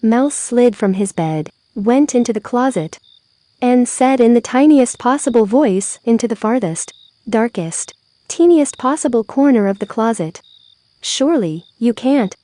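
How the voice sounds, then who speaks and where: A woman reading a children's bedtime story aloud in a measured storytelling manner. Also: a little high, lively intonation, dark tone, no room sound. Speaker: storybook reader.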